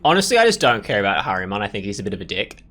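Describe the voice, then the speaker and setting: A man's voice: fairly low pitch, wildly swooping pitch, very fast, sharp tone, faint music behind. A man hosting a let's play, thinking aloud in informal, casual commentary on the game.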